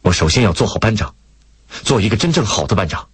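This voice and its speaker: Man acting a low-voiced, solemn soldier. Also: sing-song, husky, no room sound, heavily acted. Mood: solemn, resolute. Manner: grave, earnest, deliberate. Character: solemn, sincere male army driver, humbled and resolute.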